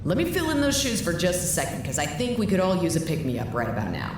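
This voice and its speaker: A weary, frank woman leader in an audio drama, with a very low voice and lively intonation. She is dry and blunt. The sound is heavily echoey, with clear noise behind her. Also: quick pace. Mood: rousing.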